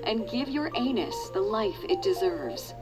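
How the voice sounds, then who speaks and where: Actress as commercial announcer under very loud music. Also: female voice, medium pitch, lively intonation, measured pace, lightly acted. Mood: earnest. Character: earnest female announcer in a parody medical commercial. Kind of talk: parody ad acting.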